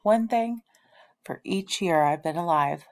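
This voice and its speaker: A woman's voice: lowish voice, unhurried, darkish tone. Woman reading as an eager, pleading ten-year-old girl.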